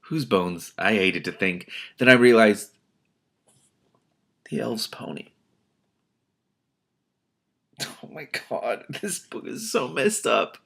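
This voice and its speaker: A man with a fairly low voice reads a story aloud as the host. He moves between expressive narration and casual, exasperated commentary of his own. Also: slow pace, vocal fry. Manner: expressive, exasperated asides with swearing. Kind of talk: audiobook-like reading with casual reactions.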